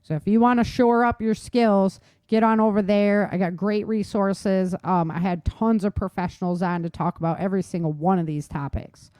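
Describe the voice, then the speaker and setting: A woman's voice: medium pitch, fairly even intonation, moderate pace, few pauses, a little mellow, clean tone, no echo. A woman hosting a talk show in casual chat, telling things informally with slangy emphasis and repetition. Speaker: talk show host, author. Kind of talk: casual chat on survival tips.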